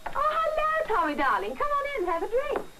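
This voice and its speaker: A woman's voice: very high-pitched, big pitch swings, brisk. An actress with a British accent playing a flirtatious, deceitful showgirl spy in 1940s film style, with theatrical, darling-laden speech. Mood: gushing, coaxing. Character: flirtatious stage performer and weary cocaine-addicted spy, deceitful woman. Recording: old film soundtrack with faint noise.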